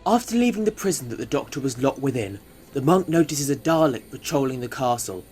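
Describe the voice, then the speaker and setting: A man's voice: medium pitch, animated, brisk, a little mellow, faint music behind. A man reading scripted narration as the narrator of an audio drama.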